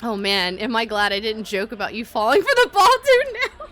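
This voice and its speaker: A woman livestreaming a visual novel, reading the text aloud and reacting to it in an expressive, giggly way. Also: a little high, moderate pace. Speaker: streamer playing a visual novel. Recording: music behind the voice. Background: game music.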